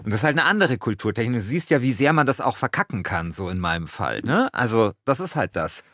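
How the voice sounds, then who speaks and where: A man co-hosting a podcast in a casual, animated way. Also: medium pitch, fast, no echo, clean studio sound. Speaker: podcast co-host. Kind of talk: casual animated chat, reading out his own text.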